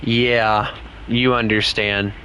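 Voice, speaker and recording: A young man with a Southern US drawl streams a game and chats with the players he meets. His voice is bright and forward, and he rambles in a loose, candid way. A faint hiss sits under his talk. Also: fairly low pitch, flat delivery, slow pace, poor audio. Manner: rambling, candid, talks to other players while playing. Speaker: gaming streamer. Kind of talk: casual live-stream chat.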